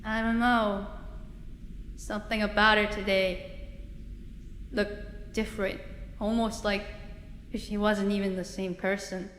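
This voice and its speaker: A woman's voice: mid-range voice, level tone, slow, long pauses, a bit bright. Lady playing a hesitant polite office manager woman, mid voice, little bit of noise behind. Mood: hesitant, uneasy. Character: polite, hesitant female manager reporting to her boss. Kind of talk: dramatic dialogue acting.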